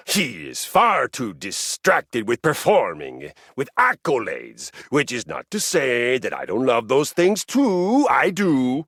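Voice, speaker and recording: A boastful warrior spirit inside a handrail, obsessed with violence and grandly theatrical. His husky, gravelly voice lurches wildly up and down, from booming shouts to hushed menace. It is a game character with a dry, close sound. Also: dry recording, exaggerated voice. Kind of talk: video game voice acting.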